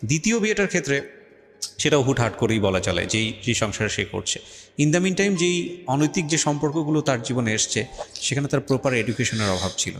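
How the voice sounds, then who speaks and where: A man hosting a life-story show, speaking in a measured, advising way at an ordinary pitch. His voice rings with a long reverb, as if in a large hall. He slips English phrases into his talk. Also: big pitch swings. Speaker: radio show host. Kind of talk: interview and reflective commentary.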